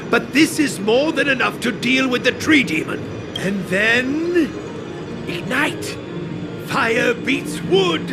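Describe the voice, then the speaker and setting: An eccentric game inventor with an extremely high, wildly swooping voice. He is excitable and theatrical, drifting into old-fashioned rhymed declamation, with loud music behind him. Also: exaggerated voice. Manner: theatrical, bursts into archaic rhyming verse. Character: eccentric, excitable male master inventor and craftsman. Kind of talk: video game character acting.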